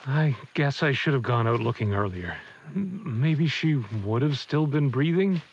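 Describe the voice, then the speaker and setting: A man playing a bereaved, brooding middle-aged father in an audio drama, speaking in a halting, folksy way. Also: mid-range voice, expressive, husky. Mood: sorrowful. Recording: slightly muffled recording.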